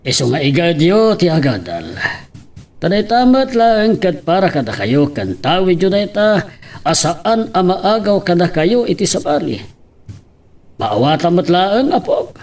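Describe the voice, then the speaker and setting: A man playing a fond, gently advising elderly grandfather in an Ilocano-accented audio drama. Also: high-pitched, very animated, unhurried, heavily acted. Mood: earnest, advising. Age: older.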